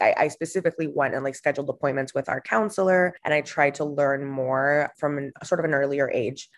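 A young woman from Honduras, a podcast guest talking casually with frequent fillers and restarts. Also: deep voice. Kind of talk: casual interview answers.

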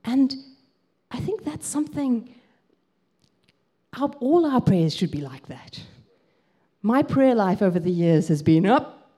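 A young woman with a South African accent, preaching and telling a personal story in a lively way, with frequent ums. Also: fairly high pitch, slow, pauses often, breathy edge. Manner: lively storytelling, gets excited, lots of ums.

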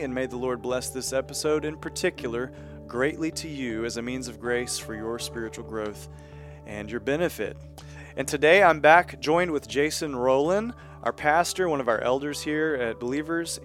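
A man hosting a church podcast in an easygoing, folksy way with some light teasing. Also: mid-range voice. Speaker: podcast host.

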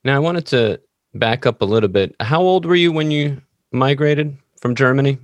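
A man's voice: medium pitch, moderate pace, dry recording, lo-fi sound. A man hosting an interview podcast, asking follow-up questions and dropping in short affirmations. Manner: brief backchannel affirmations. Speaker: podcast host.

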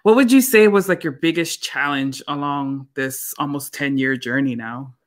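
A man's voice: a little high, moderate pace. A man interviewing a guest, asking questions in a conversational, hesitant way.

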